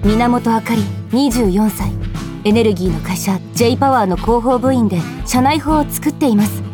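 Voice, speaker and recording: A woman's voice: fairly high pitch, normal speed, lightly acted. An actress playing an exasperated, flustered 24-year-old office worker who narrates her own troubles in a radio drama. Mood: matter-of-fact, weary. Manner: animated, flustered, grumbling. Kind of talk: Japanese radio drama monologue, anime-like. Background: loud music.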